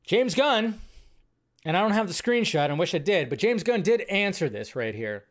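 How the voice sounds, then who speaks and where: A man hosting a movie news show, giving casual, rambling fan commentary in an informal, thinking-out-loud way. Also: high voice, very animated, normal speed.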